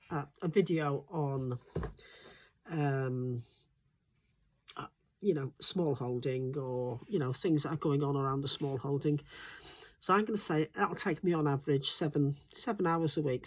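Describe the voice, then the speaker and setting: A low-pitched woman talks casually to camera about life on her smallholding. Her voice sounds stuffed up and congested from a recent flu. She rambles, with plenty of ums and restarts. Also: unhurried, a little mellow. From Wales. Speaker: smallholding vlogger. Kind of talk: casual chatty monologue.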